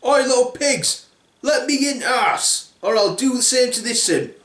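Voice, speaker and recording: A man's voice: very high-pitched, animated, measured pace, fairly bright tone, echoey room, pitched above the performer's own voice, full character voice. A man voicing a crude, rude male big bad wolf with working-class slang in a comic fairy tale. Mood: threatening.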